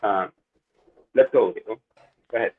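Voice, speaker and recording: Ecuadorian male researcher, brisk conference presenter, tinny phone-quality audio. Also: lowish voice. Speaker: security researcher.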